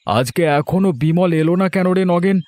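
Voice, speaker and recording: A man's voice: high-pitched, brisk, a little mellow, no room sound, soft background music, natural voice. A man voicing a chatty, status-conscious village trader in a cartoon folk tale, with theatrical delivery.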